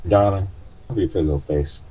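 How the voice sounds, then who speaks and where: A man's voice: quick pace, a little mellow, lightly acted, rough recording. A man voicing an older woman taxi driver, mystical and sassy, who calls everyone darling in a drawling, folksy way. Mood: brisk. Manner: drawling, folksy sayings, high put-on voice.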